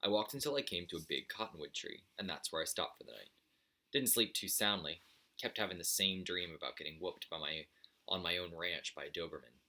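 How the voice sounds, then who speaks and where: A man's voice: deep voice, lively intonation, a little fast, pushed and bright. A man narrating a children's story aloud in the first person, as the storyteller.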